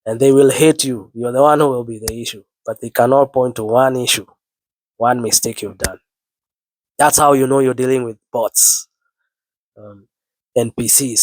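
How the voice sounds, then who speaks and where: A man with the flu delivers an earnest sermon to his online viewers in a voice that sounds low and worn from illness. He leans on biblical phrasing and repeats his words. His pitch is ordinary for a man, and the recording is close and dry. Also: dry recording, rough recording. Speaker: online preacher. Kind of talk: spoken sermon to camera.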